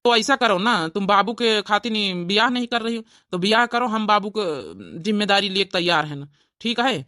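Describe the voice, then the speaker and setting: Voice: medium pitch, a little fast, sharp tone, dry recording, clean studio sound, close to an everyday voice. A performer playing an earnest, devoted young village man with an Awadhi accent in a family cartoon. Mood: pleading, earnest. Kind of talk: family drama cartoon dialogue.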